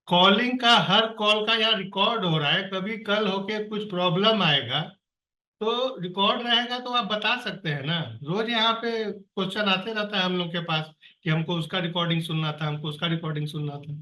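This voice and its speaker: Man on a video call, exhorting and exasperated, bright tense voice, echoey room, far from the mic. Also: sits high, normal speed. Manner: earnest, exhorting, exasperated pleading. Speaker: project coordinator on a staff call. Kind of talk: online meeting, urging staff. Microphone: computer or phone on a video call.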